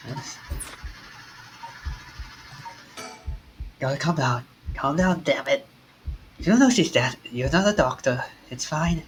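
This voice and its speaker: A man's voice: a little high, lively intonation, moderate pace, a little hiss or hum, natural voice, low-quality recording. A man voicing a frightened young man whose friend has collapsed, reading a visual novel aloud and stammering in panic, with game music behind. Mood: panicked. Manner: stammering, panicky, then quietly resolved.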